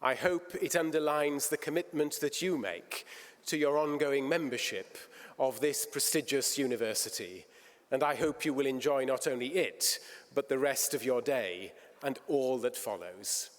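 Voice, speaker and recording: A man's voice: mid-range voice, normal speed. A man giving a formal, courteous address to close a graduation ceremony. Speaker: ceremony presider. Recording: long reverb in a large hall.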